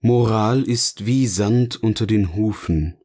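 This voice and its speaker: Ein Mann spielt in einem Hörspiel einen eloquenten, sardonischen Erzähler, der bedächtig und theatralisch philosophiert. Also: male voice, lowish voice, slow pace, warm tone. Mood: wry, musing. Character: eloquent, sardonic male narrator finally free to speak. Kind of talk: scripted audio drama dialogue, philosophical musing.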